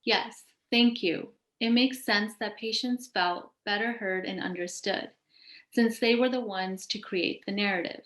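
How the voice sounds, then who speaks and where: A woman's voice: medium pitch, unhurried, a bit bright. A woman presenting as a show host, reading prepared questions and remarks in a scripted interview style. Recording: roomy, echoing sound.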